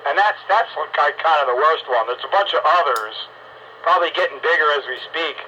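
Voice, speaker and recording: Bright, higher-voiced man on band-limited radio. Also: a little fast, dull audio. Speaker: host of a radio roundup net. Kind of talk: casual radio chat. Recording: noisy, band-limited two-way radio audio.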